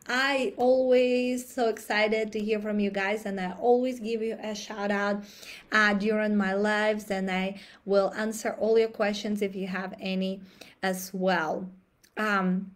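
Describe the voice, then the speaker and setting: A woman in her thirties speaks to her viewers, her fairly high voice roughened and raspy from a recent cold. She chats in a friendly, loose way, laughing and clearing her throat between thoughts. It sounds like a laptop webcam mic in a small home office. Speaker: life coach. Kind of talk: casual live stream talk.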